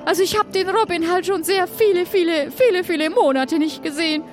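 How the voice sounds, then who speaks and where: A woman's voice: very high voice, fairly quick. A woman playing Greta, a grieving, hesitant woman searching for someone lost, in an improvised audio adventure. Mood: troubled, hesitant.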